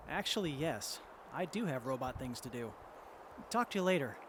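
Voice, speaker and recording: A man's voice: medium pitch, lively intonation, moderate pace, noisy background, natural voice. A man playing an earnest, hesitant, kind-hearted wasteland survivor in an audio drama dialogue. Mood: grateful. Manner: hesitant, sincere, soft-hearted.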